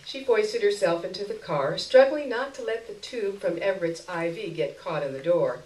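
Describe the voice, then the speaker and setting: A woman's voice: medium pitch, normal speed, darkish tone. A woman reading a short story aloud expressively as a stage performance. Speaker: story reader. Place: roomy indoor stage event. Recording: roomy echo, recorded far from microphone.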